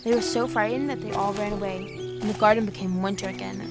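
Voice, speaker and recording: A woman as a gentle storybook reader. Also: mid-range voice, steady tone, fast talker, close to an everyday voice. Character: young woman reading a fairy tale aloud. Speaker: storyteller within the drama. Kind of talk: audio drama. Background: music.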